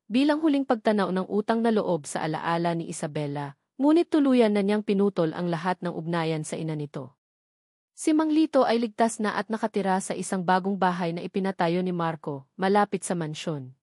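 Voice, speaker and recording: A woman giving scripted narration of a romance story, reading in an even, measured way. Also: mid-range voice, dry recording, clean studio sound.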